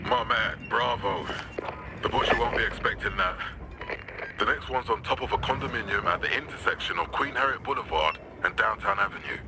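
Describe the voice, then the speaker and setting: An actor playing a commanding, theatrical military leader in a video game, emphatic and grandiose. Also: male voice, fairly low pitch, brisk, background noise, lightly acted. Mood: grand, confiding. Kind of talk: cinematic game dialogue.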